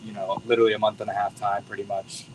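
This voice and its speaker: Soft-spoken grateful American drummer man. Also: lowish voice, monotone, fast, background noise. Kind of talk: casual interview. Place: bowling alley venue.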